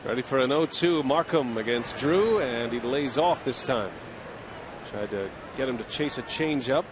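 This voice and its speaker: A man calling a baseball game play-by-play in a steady, measured broadcast style. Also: mid-range voice, background noise. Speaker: play-by-play announcer.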